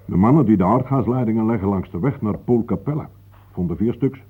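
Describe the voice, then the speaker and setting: An actor playing an older, guarded, matter-of-fact local man with a husky voice, formally polite, in a Dutch radio play. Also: lowish voice, brisk, phone-quality sound.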